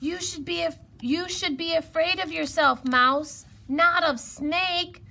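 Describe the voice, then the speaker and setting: A woman's voice: extremely high pitch, slow pace, creaky, pitched above the performer's own voice, heavily acted. A woman voicing a sensible, bossy but caring hedgehog friend, speaking emphatically in a children's story. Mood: urgent. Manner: emphatic, expressive.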